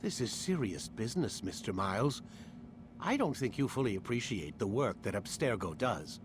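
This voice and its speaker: An actor playing an imperious older scientist in a modern-day video game scene, formal and condescending. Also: male voice, lowish voice, very animated, moderate pace, background noise. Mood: smug. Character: imperious older male scientist villain, smug, impatient.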